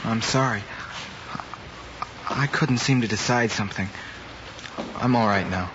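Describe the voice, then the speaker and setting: Troubled, guarded student son young male actor, vintage screen drama, muffled and clearly hissy soundtrack. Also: fairly low pitch, unhurried, close to an everyday voice. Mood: uneasy. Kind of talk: mid-century American screen drama acting. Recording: muffled, noisy old film soundtrack.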